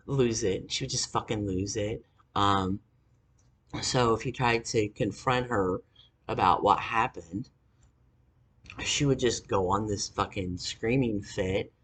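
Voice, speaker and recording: A man in his forties telling a personal story to camera, halting with fillers and angry swearing. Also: lowish voice, a bit bright, slightly rough. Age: 40s. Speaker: vlogger. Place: indoors, dim room.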